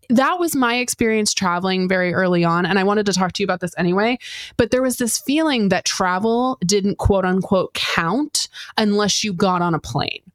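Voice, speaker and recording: A woman's voice: medium pitch, no room sound, clean studio sound. A woman hosting a podcast interview who asks long, rambling questions and reacts with effusive enthusiasm. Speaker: podcast host.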